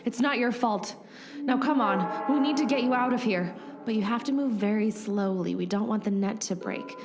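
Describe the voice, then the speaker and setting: A woman's voice: medium pitch, moderate pace, noisy background, natural voice. A woman playing an earnest, determined heroine, narrating her rescue dramatically in a comedic audio drama. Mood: urgent, hushed. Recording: loud music bed underneath.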